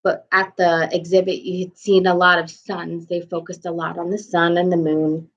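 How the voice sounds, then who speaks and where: A woman's voice: lowish voice, level tone, moderate pace. A young adult woman reading a children's bedtime story aloud expressively, indoors at home into a webcam. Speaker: storybook reader. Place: small room at home, indoors. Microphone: webcam at arm's length. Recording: slightly dull home webcam sound.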